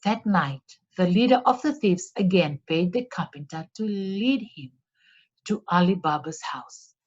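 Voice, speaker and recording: A woman reads a children's story aloud at an unhurried pace, with lively rises and falls in her voice. Her tone has a soft husk to it, and the recording is a little dull. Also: on the low side, breathy edge. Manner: deliberate, expressive read-aloud for children. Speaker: storyteller for a read-along. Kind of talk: scripted storybook narration.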